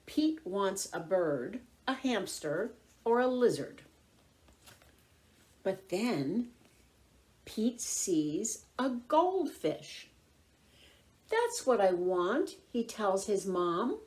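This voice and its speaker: Female children's story reader: expressive picture-book narration, slow and deliberate, highly varied intonation. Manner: expressive, deliberate, clearly phrased.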